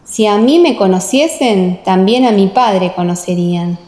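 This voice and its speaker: A woman's voice: mid-range voice, animated, unhurried, dark tone, close to an everyday voice. A woman voicing Jesus as a solemn, authoritative teacher in an audiobook radio play. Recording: echoey, long reverb.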